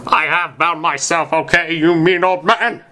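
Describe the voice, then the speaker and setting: A man's voice: fairly high pitch, fairly even intonation, measured pace, sharp tone, pitched above the performer's own voice. A man voicing an angry, melodramatic, foul-mouthed goth teenage girl in an amateur story reading, snapping indignantly. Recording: noisy amateur recording.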